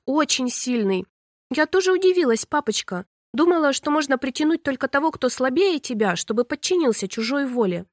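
A woman doing a bright, wheedling young mermaid princess. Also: high voice, no echo, clean studio sound, voice pushed up. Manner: bright, quick, wheedling, pouty.

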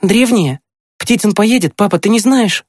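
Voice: medium pitch, expressive, normal speed, no room sound, studio quality, pitched above the performer's own voice, lightly acted. An audiobook narrator voicing an eager, boastful boy who is finishing primary school. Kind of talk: acted audiobook dialogue.

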